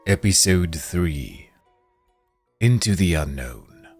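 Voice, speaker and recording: Deep, slow male announcer with a dramatic read. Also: animated, music in the background. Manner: slow, declamatory. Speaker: title announcer.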